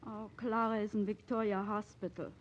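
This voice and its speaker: A woman's voice: mid-range voice, flat delivery, normal speed, lightly acted. An actress playing a humble, deferential middle-aged mother who keeps a restaurant, in 1940s radio drama style. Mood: worried, apologetic. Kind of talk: 1940s radio play acting. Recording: dull vintage radio recording.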